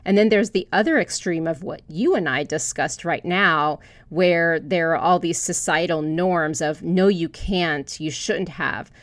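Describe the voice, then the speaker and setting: A woman's voice: on the low side, a little slow, hardly any pauses, no echo. A woman podcast host from Florida, earnestly sharing a personal story before posing a question to her guest. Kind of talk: podcast interview.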